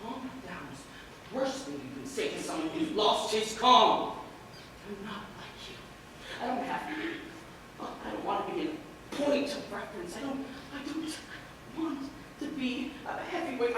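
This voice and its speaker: Distraught, self-pitying middle-aged man, played by a male stage actor, animated melodrama, distant noisy recording. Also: very high voice, moderate pace, very echoey, heavily acted. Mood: exasperated. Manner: agitated, melodramatic, repetitive. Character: distraught, hapless man around forty, self-pitying joker. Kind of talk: theatrical stage acting. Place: large echoey room, far microphone.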